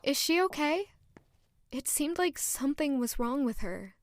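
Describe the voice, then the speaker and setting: An actress playing a playful, observant young noblewoman in an audio drama, with a soft, expressive delivery. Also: sits high, a little slow, no echo, studio quality. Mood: concerned, gentle. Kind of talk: audio drama dialogue and first-person narration.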